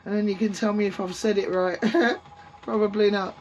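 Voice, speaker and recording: A woman with a gruff voice from a sore throat, chatting casually and rambling with many ums as she shows round her greenhouse on camera. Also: medium pitch, steady tone, normal speed, darkish tone, poor audio. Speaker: allotment vlogger. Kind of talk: casual walk-through chat. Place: greenhouse on an allotment, outdoors. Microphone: camera on a tripod. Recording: outdoor noise, lawnmowers and traffic behind.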